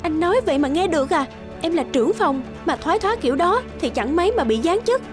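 A woman's voice: very high-pitched, animated, fast, music in the background. A woman playing a discontented, ambitious young wife in a cartoon, curt and complaining. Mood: irritated.

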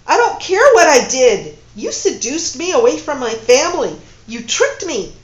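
A woman voicing a distraught, betrayed woman who shouts accusations through tears. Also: high-pitched, very animated, unhurried, pitched above the performer's own voice. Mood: furious. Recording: dull, roomy recording with faint hum.

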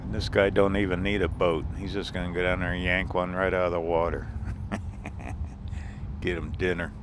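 A man's voice: low-pitched, normal speed, noisy background. A man vlogging a casual driving tour of a small coastal town, rambling and chuckling as he points things out from his vehicle. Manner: relaxed, rambling, chuckles often. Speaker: RV travel vlogger. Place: in a vehicle driving, and outdoors by the water.

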